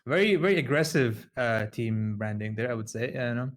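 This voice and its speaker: A man's voice: mid-range voice, animated, moderate pace. A young man hosting an online event, chatting casually with dry, teasing remarks. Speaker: event host. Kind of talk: casual chat.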